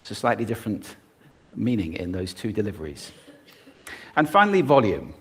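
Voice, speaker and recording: A man delivers a prepared talk on stage, with a pitch that rises and falls widely. He shows voice techniques as he explains them, with an expressive delivery. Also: brisk, husky. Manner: expressive, demonstrating vocal techniques. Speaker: public speaker.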